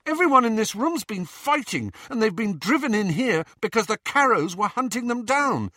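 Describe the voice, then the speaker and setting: A man's voice: high-pitched, sing-song, moderate pace, bright, forceful tone, dry recording, clean studio sound, pitched above the performer's own voice, heavily acted. A man voicing an earnest, indignant teenage boy rebel in an audiobook, speaking insistently and emphatically.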